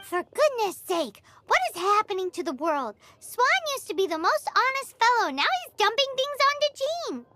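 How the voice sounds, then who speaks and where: A woman voicing a small chirpy fairy companion with a squeaky, childlike voice and a fussy, scolding manner. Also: sing-song, normal speed, few pauses, bright, forceful tone, heavily acted. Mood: indignant. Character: small floating fairy guide, chirpy and bossy. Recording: game audio with background music.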